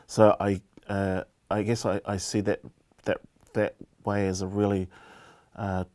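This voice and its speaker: A man in his forties with a New Zealand accent, a political candidate talking thoughtfully in a relaxed studio interview and often restarting his phrases. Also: fairly low pitch, measured pace. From Cook Islands heritage, raised in New Zealand. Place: small indoor studio set.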